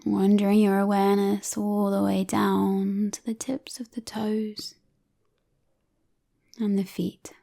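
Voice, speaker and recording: Woman, meditation guide, soft near-whisper, calm and soothing, level gentle intonation, gravelly fry at the edges. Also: medium pitch. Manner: calm, soothing, unhurried with long pauses. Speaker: yoga and relaxation guide.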